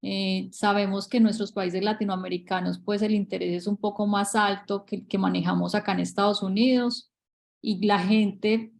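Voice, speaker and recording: A woman's voice: medium pitch, creaky, darkish tone. A woman real estate agent co-hosting a webinar over a video call, searching for words and repeating herself.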